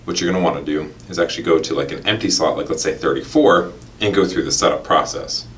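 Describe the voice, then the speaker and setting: A man's voice: rumbling low, quick pace, fairly bright tone. A man demonstrating a product in a casual, step-by-step tutorial, explaining informally and talking straight to the viewers.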